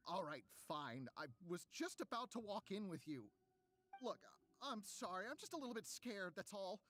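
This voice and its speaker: An actor playing a nervous, defensive young boyfriend who pleads, in an anime-style game. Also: male voice, high-pitched, big pitch swings, moderate pace, a bit bright, a little hiss or hum. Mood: apologetic.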